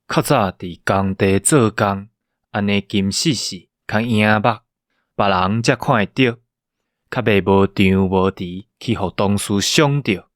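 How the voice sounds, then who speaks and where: A man plays a genteel gang boss in a Taiwanese-accented radio play. His low voice is measured and unruffled, recorded dry and close with little room sound. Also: no room sound, lightly acted. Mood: calm, genteel. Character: genteel, calm, scar-faced gang boss with quiet authority. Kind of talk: radio drama acting.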